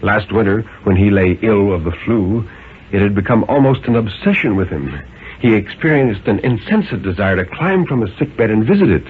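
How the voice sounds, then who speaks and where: A man narrating a story as a 1950s radio dramatic reading, in a theatrical, measured, suspenseful manner. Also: fairly low pitch, expressive, narrow, tinny audio, a little hiss or hum. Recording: thin, band-limited 1950s radio recording with music.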